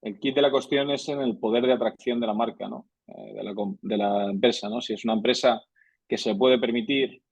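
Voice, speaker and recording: A man's voice: mid-range voice, fairly even intonation, a little fast, husky, dry recording, highs cut off. A man answering on a professional panel, hesitant and often restarting his phrases. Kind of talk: round-table discussion.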